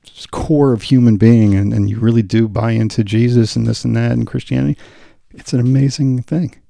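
Man, gravelly low voice, mellow tone, dry close mic, casual podcast co-host, agreeable, filler-heavy. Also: steady tone, brisk, dry recording. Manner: easygoing, agreeable, lots of fillers. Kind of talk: casual chat.

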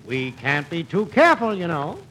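Bright, high-pitched, chummy schemer. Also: male voice, wildly swooping pitch, moderate pace, low-quality recording. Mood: chummy, excited. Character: excitable, chummy man flattering his gullible pal. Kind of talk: 1940s radio comedy acting. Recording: old radio broadcast with faint noise.

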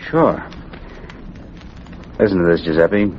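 An actor playing a smug, threatening racketeer in an old radio drama, full of slangy gangster talk. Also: male voice, low-pitched, big pitch swings, slow pace, heavily acted. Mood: menacing. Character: menacing, smug racketeer blackmailing an underling. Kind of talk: old-time radio acting. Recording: dull, noisy old radio recording.

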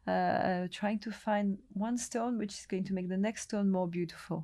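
Gravelly-voiced middle-aged woman. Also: mid-range voice, fairly even intonation, normal speed, mellow. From Lebanon. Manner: hesitant, many restarts and fillers, chatty storytelling. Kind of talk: relaxed interview conversation.

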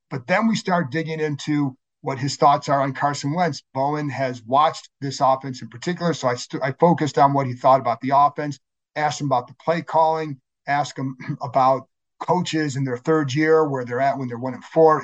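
A man with a scratchy voice hosting a sports podcast, talking casually and correcting himself as he goes. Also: on the high side. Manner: conversational, self-correcting, many fillers. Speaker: podcast host. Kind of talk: solo sports podcast intro.

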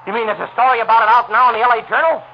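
An American actor playing a brusque, suspicious, seasoned homicide lieutenant in a 1940s radio crime drama. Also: male voice, very high-pitched, fairly even intonation, racing. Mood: agitated. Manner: gruff, informal, clipped. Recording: tinny, hissy old radio broadcast.

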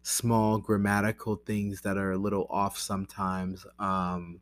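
An American man with a fairly low voice chats informally in a dry-sounding recording. He rambles and breaks off mid-thought, circling back with plenty of "like" and "you know" as he goes. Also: flat delivery, no echo. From United States. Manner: halting, self-interrupting, with many "like" and "you know" asides. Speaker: language learner vlogger. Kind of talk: casual rambling talk to camera.

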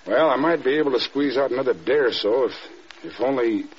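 An actor playing a loyal, middle-aged American air force adjutant, earnest and deferential, in classic radio drama. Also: male voice, medium pitch, animated, fairly quick, husky. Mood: hesitant, earnest. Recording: dull, hissy old radio recording.

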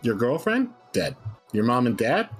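A man's voice: mid-range voice, big pitch swings, measured pace, vocal fry, breathy edge, soft background music, heavily acted. A man playing a bleakly nihilistic talk-show psychologist in a dramatic reading, lecturing a caller in a blunt, condescending manner. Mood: bleakly matter-of-fact.

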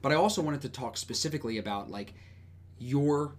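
A man hosting a podcast, talking in a relaxed, friendly way with lots of casual filler words. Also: mid-range voice, brisk. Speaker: podcast host.

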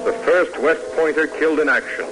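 A man's voice: a little high, a little fast, noisy background. An American man narrating an old-time radio drama in a solemn, stately, oratorical way. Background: music.

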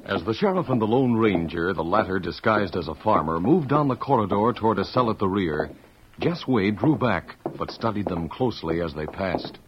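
A man's voice: lowish voice, lively intonation, moderate pace, background noise, lightly acted. A man narrating an old-time radio western in a dramatic, scripted storytelling style with an American accent. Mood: dramatic, suspenseful. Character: earnest, dramatic male storyteller of western adventure. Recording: dull vintage radio with music behind.

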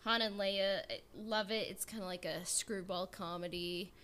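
A woman's voice: mid-range voice, steady tone, moderate pace, a bit bright. A woman chatting informally in a fan discussion, peppering her sentences with "like". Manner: informal, frequent "like" as filler. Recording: clear background noise.

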